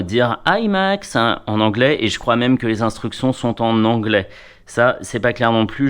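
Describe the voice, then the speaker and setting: A man with a fairly low voice presents a product in a casual, hands-on way. His delivery is wry and playful, and he often mutters to himself or addresses the gear as he goes. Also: smooth. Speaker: product reviewer.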